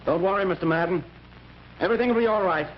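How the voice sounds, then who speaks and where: A man's voice: sits high, fast talker, lightly acted. A man playing a tough, determined detective who talks tersely in an old-time radio drama. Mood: reassuring. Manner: terse, hard-boiled. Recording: noisy, muffled vintage radio recording.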